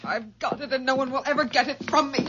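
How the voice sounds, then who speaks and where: An actress playing a distraught, possessive young daughter, pleading and halting in old-time radio melodrama. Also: female voice, high-pitched, steady tone, background noise, lightly acted. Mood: fierce, possessive. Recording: dull, lo-fi vintage radio recording.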